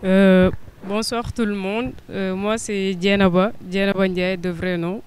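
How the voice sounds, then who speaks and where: Young Senegalese woman, flat, smooth. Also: medium pitch, unhurried. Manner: hesitant, many filler sounds, earnest. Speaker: guest. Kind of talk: interview answers.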